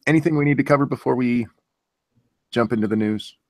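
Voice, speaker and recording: A man's voice: mid-range voice, brisk, no echo. A man hosting a live stream, chatting casually with dry, joking banter. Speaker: stream host.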